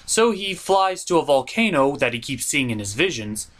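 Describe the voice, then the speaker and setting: Guy hosting comedic cartoon reviews, really animated pitch, sharp forceful voice, theatrical and jokey. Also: moderate pace. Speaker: host of a cartoon review show. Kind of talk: scripted comedic review narration.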